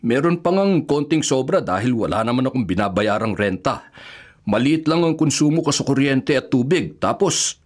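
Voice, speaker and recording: A male actor plays an older Filipino bachelor in a radio drama, with a medium-pitched voice. He questions frankly and with sympathy, as a concerned brother would. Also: expressive, moderate pace, lightly acted. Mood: regretful, resigned.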